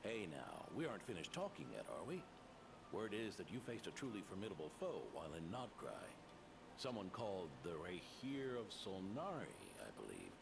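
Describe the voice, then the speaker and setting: A man's voice: sits low, wildly swooping pitch, moderate pace, creaky, exaggerated voice. An actor playing a wry older male knight who probes and teases with a drawling delivery. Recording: game audio with noise.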